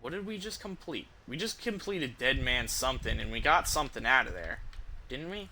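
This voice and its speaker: Guy streaming a game, really bright sharp voice, chatting and thinking out loud, bit of noise behind. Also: mid-range voice, big pitch swings, fairly quick. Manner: thinks aloud, hums while deciding. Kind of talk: casual game stream commentary to viewers.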